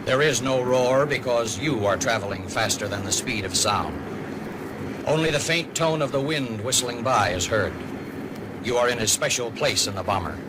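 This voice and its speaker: A man narrating a mid-century radio documentary drama in a deliberate, dramatic way, addressing the listener directly. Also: medium pitch, lightly acted. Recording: old radio recording, loud background noise.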